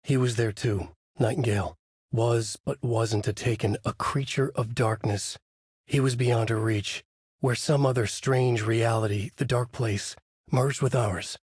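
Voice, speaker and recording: A man playing a brooding, haunted writer in a video game, narrating a dark noir thriller in grave, clipped, dramatic phrases with a husky voice. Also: mid-range voice, fairly even intonation, a little slow, no echo, clean studio sound. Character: brooding, haunted male writer trapped in a nightmare.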